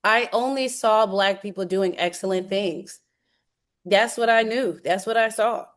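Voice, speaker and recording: A woman's voice: medium pitch, unhurried. A young woman speaking as a guest, recounting her upbringing in a candid, emphatic way. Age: young adult. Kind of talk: conversational talk on a video call.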